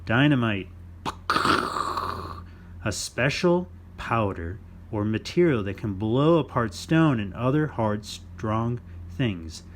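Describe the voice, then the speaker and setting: A man's voice: medium pitch, expressive, takes it slowly. Man reading to young children, noisy background. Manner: instructive, addressing young children, with playful exclamations. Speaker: children's story-time host. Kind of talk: read-aloud of a non-fiction picture book for young children.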